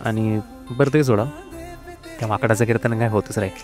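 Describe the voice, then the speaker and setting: A man's voice: on the low side, fairly even intonation, fairly quick, faint music behind, close to an everyday voice. A man playing a cocky, sarcastic wolf who hosts a comedy podcast, mocking and hesitant, mixing in English words. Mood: smug. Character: cocky, sarcastic male wolf podcast host, secretly cowardly. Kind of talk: scripted comedy podcast monologue.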